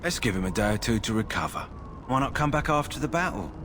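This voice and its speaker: A man's voice: medium pitch, very animated, fast, noisy background, close to an everyday voice. A man playing a practical, caring animal healer in a video game, with a plain-spoken, helpful manner. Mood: concerned, helpful. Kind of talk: video game character acting.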